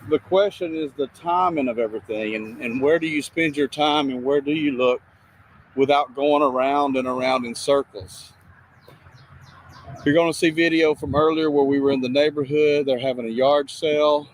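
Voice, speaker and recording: A man narrating casually on location as a video streamer, speaking haltingly with pauses between phrases. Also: a little high. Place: outdoors by a road and woods. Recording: outdoor noise, distant freeway. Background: freeway and ambient outdoor noise.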